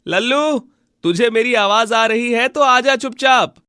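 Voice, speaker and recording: Man playing a high, plaintive poor villager. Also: bright, forceful tone, clear, resonant tone, no room sound, studio quality, heavily acted. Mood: anxious, pleading. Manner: plaintive, drawn-out pleading. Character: poor, tender-hearted villager pining for his lost bull. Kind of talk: Hindi animated moral story dialogue.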